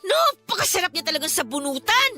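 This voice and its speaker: An actress playing an outspoken, fiery grown daughter in a Filipino radio drama, speaking bluntly and emphatically but with respect. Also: female voice, very high-pitched, big pitch swings, a little fast, sharp tone, exaggerated voice. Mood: indignant, frustrated. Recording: studio drama with music behind.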